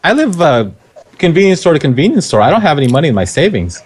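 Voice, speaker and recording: Lively, joking young man on a podcast. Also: a little high, fast talker, husky. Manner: joking, self-mocking, stumbling over words. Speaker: podcast guest and streamer. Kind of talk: casual chat.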